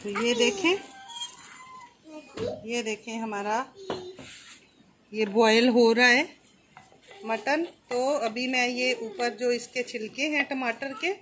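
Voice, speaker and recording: A fairly high-voiced woman, talking a home cook through a recipe step by step. Her delivery is calm and instructive, with faint background noise behind her. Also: slow, pauses often. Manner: calm, explains each step while cooking. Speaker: cooking channel host.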